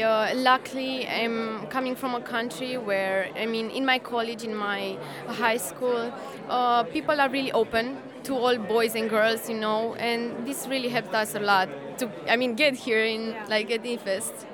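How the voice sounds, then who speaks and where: A young woman answers an interviewer informally and with emphasis. Her pitch is a little high, and a crowd is clearly audible around her. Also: steady tone, unhurried. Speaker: science fair participant being interviewed. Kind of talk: casual spoken interview answers. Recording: clear crowd noise behind. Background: crowd chatter.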